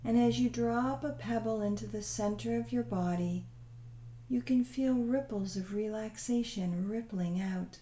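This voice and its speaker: A woman leading a guided visualization meditation in a soothing, unhurried, gently instructing way. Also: mid-range voice, echoey room.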